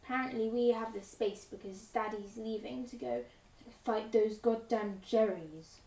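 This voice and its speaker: A woman's voice: on the high side, normal speed, darkish tone, close to an everyday voice. A woman playing an innocent, outspoken young farm daughter in a wartime audio play, with echoey room sound. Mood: matter-of-fact.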